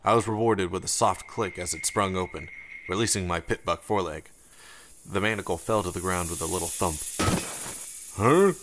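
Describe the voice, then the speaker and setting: A man with a low voice narrates a story from a script in audiobook fashion. Music plays clearly underneath his reading. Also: fairly even intonation, brisk, rough recording.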